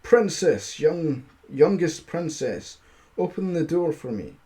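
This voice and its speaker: Storybook frog, played by a man: pleading and insistent, calling out slowly at a raised pitch. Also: sing-song, mellow.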